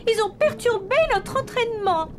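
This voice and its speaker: A woman's voice: very high voice, moderate pace, music in the background. A woman voicing a small, grumpy young mermaid who is reluctant to help, in a French children's cartoon. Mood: grumpy, doubtful.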